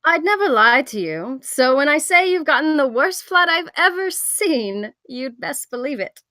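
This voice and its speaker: Woman acting a sly, higher-voiced smuggler. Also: sing-song, normal speed, sharp tone, no room sound, exaggerated voice. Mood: sly, teasing. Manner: sardonic, teasing. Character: sly, mischievous female smuggler and thief. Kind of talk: screenplay table read.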